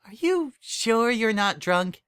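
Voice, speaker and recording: A man's voice: high-pitched, very animated, slow, fairly bright tone, clear, resonant tone, pitched above the performer's own voice, exaggerated voice. A man voicing a protective, no-nonsense mare shopkeeper in a pony story, with a scolding, sceptical manner. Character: protective, no-nonsense yellow mare minding candy shop.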